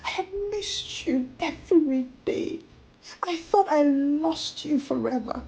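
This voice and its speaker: A woman's voice: high voice, takes it slowly, dark tone, voice pushed up, low-quality recording. A woman storyteller voicing a frail old mother in a folktale, tearful, pleading and full of remorse. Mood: sobbing remorse.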